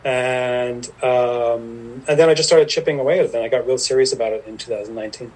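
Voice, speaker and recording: A man's voice: medium pitch, fairly quick, husky, rough recording. A man, telling stories as a podcast guest in a rambling, self-deprecating way. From Ireland. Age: 50s. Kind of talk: casual storytelling interview.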